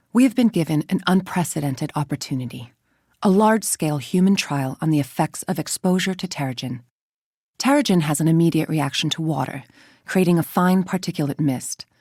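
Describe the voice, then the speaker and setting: A woman's voice: deep voice, dark tone, slightly rough, no room sound, heavily acted. A haughty, menacing female scientist from a video game. She speaks with precise, condescending control and cold self-righteousness. The sound is very dry, with no room around the voice. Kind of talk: video game voice acting, scripted dialogue and briefings.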